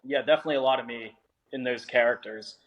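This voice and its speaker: A man's voice: mid-range voice, fairly even intonation, fast, dull audio. A young adult man, an author guest on a podcast, talking in a laid-back, joking way and swearing freely. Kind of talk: casual podcast interview.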